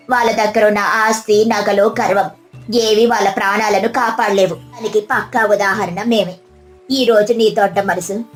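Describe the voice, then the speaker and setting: A calm village woman, played by a woman in a cartoon fable. She sounds earnest and reassuring at a middle pitch, with music in the background. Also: lightly acted. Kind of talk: scripted moral-story cartoon dubbing.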